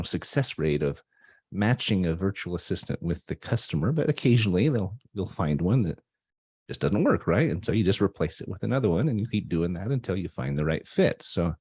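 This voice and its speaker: A man with a low, mellow voice hosts a talk radio interview over a video call. He sounds upbeat and affirming, and he keeps checking in with his guest. The sound is dry and close. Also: very animated, brisk, breathy edge, no room sound. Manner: upbeat, affirming, often asks "right?". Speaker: radio show host.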